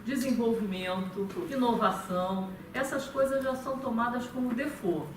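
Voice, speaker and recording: A woman with a Brazilian Portuguese accent giving a thoughtful talk at a meeting, pausing often on fillers and restarting her phrases. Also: a little high. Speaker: conference speaker. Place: meeting room. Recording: echoey room, far from the mic, noisy.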